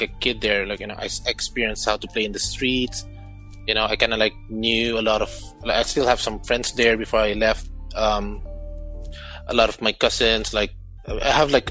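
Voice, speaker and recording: Bright-voiced young man rambling casually. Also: mid-range voice, low-quality recording. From Philippines. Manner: rambling, heavy on "like" and "you know". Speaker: guest musician. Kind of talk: casual chat.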